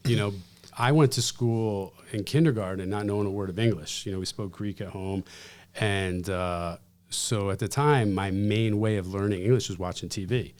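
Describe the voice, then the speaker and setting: A man in his forties, a pizzeria owner from the United States, telling rambling, animated stories as a podcast guest. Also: fairly low pitch, moderate pace. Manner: rambling, animated, self-deprecating. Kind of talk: casual interview storytelling.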